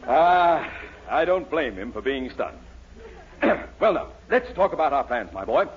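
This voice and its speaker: An actor playing a pompous, gushing university dean in a 1940s radio comedy, on a muffled old recording. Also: male voice, a little high, big pitch swings, unhurried, slight background noise. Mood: proud, pompous. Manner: pompous, effusive, grandiose.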